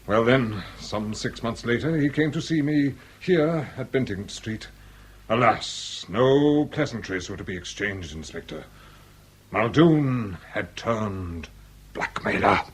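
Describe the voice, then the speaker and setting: A grave, aristocratic lord in his sixties, speaks with a husky edge. His phrasing is formal, ornate and theatrical, in the manner of period radio drama. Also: lowish voice, expressive, slow pace, exaggerated voice. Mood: grave, bitter. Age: 60s. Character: grave aristocratic lord past sixty, confessing a dark secret.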